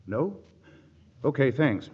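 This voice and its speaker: A man's voice: mid-range voice, sing-song, slow, darkish tone. A man playing an anxious young husband who plays detective in a 1940s radio comedy. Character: jittery husband, young amateur sleuth. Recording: dull old radio broadcast with faint hiss.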